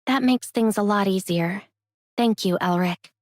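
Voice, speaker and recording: A woman's voice: medium pitch, unhurried, no room sound, clean studio sound, natural voice. A woman playing a composed, considerate young heroine in an anime game, polite and straightforward. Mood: curious, practical. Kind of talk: scripted anime game dialogue.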